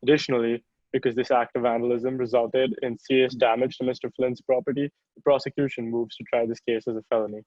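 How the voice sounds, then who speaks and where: A man reading a brisk male prosecutor in a table read, formal and rapid. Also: medium pitch, level tone, a little mellow, no echo, muffled recording, lightly acted. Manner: brisk, formal legal delivery.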